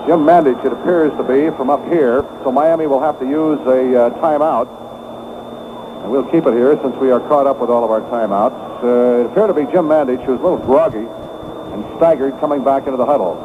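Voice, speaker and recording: A man's voice: mid-range voice, a little fast. Man calling football on tinny, noisy old radio. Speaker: play-by-play sports announcer. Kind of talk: live radio football commentary. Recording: vintage radio broadcast, narrow band, heavy noise.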